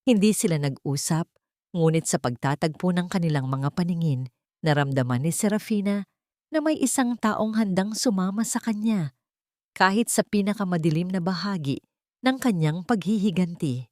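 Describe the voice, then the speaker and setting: A woman's voice: on the low side, expressive, normal speed, darkish tone, no room sound, studio quality. A woman reading a scripted, dramatic story as its narrator. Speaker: storyteller, narrator of love stories.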